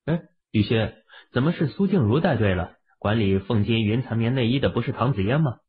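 A man's voice: medium pitch, lively intonation, brisk, breathy edge. A man voicing an understated, musing young husband, the laid-back hero of an urban fantasy audiobook. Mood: puzzled. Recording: muffled, phone-like, lo-fi audio.

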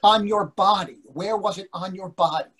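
A man's voice: high voice, normal speed, a bit bright. A man in his sixties playing a dry, evasive husband with a questioning manner, in a play read over a video call. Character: dry, evasive older husband itching to escape.

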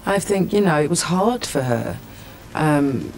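A man giving a documentary interview, speaking in a halting, sombre way. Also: a little high, lively intonation, a little hiss or hum.

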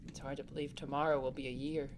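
A woman's voice: a bass voice, little pitch movement, fast talker, background noise. A woman playing a protective, caring young boy, a capable big brother, in a family audio drama, speaking in an earnest, reassuring way. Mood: reflective, earnest.

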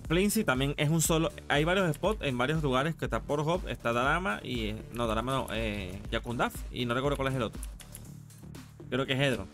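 A man's voice: mid-range voice, a little fast, a bit bright, faint music behind. A man with a Latin American Spanish accent chatting casually on a live gaming stream, informal and slangy, with some casual swearing. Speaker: game streamer.

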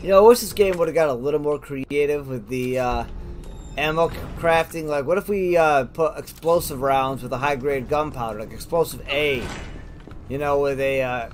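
A man's voice: mid-range voice, normal speed, slight background noise. A man doing casual gameplay commentary, thinking aloud and chatting informally to his viewers. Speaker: gamer, host of a let's play. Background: game music.

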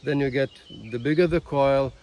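A man gives a casual demonstration to camera in a halting way, repeating words, recorded outdoors in a field. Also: mid-range voice, fairly even intonation, a little mellow, a little hiss or hum. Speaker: ham radio vlogger. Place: outdoors in a grassy field beside a van.

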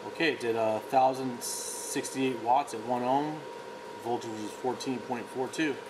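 A man's voice: medium pitch, fairly even intonation, measured pace, noisy background. A man giving a casual, matter-of-fact technical demonstration as a company product demonstrator. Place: indoor workshop.

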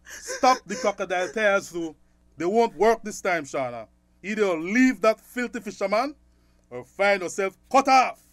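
A man's voice: sits high, animated, a little slow, a bit bright. A man playing a stern, angry father in a radio drama, harsh and commanding. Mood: angry, scornful. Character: stern angry father cutting off his grown daughter.

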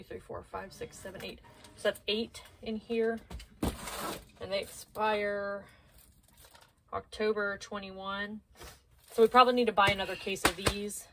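A woman's voice: medium pitch, background noise. A woman in her thirties talking casually and practically as she organizes a home pantry, thinking aloud, recorded by a camera a few feet away. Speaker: prepper vlogger. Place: small home pantry with shelves, indoors. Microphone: camera on a low shelf, a few feet away.

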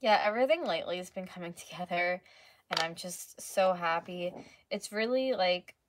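A woman in her twenties with a slightly hoarse voice, chatting casually as she livestreams crafts from home. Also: lowish voice, moderate pace. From North America. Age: 20s. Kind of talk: casual chat while painting. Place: small room at home, indoors. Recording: clearly noisy home livestream recording.

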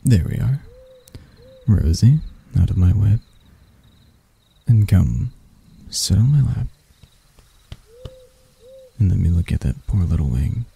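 Man as a husky, deranged spider vampire seducer. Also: low-pitched, big pitch swings, full character voice. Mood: tender, possessive. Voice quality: soft, breathy, husky. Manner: slow, teasing, theatrical, with dark chuckles. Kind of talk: intimate ASMR roleplay.

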